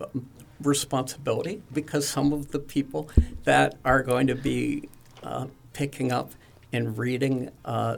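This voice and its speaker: A man interviewing young comic book creators, speaking haltingly with many fillers and restarts. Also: mid-range voice, vocal fry. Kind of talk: radio interview.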